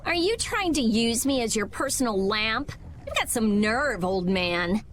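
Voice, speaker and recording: A woman's voice: fairly high pitch, expressive, a little slow, a little hiss or hum. An American actress playing a brusque, brainy teenage girl mage in an anime game dub, curt and matter-of-fact. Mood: irritated.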